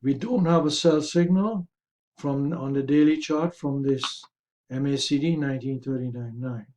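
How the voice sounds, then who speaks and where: A man hosting a market review, explaining charts in a measured, careful way with a slightly raspy, hoarse voice. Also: medium pitch, mellow, a little hiss or hum, rough recording. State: hoarse, throat-clearing. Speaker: host of a stock market review.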